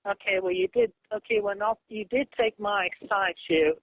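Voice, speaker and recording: A man arguing on a phone call in a halting, stammering way, often repeating himself. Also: high-pitched. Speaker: comic creator on a phone call. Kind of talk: argumentative phone conversation. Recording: muffled lo-fi phone call audio.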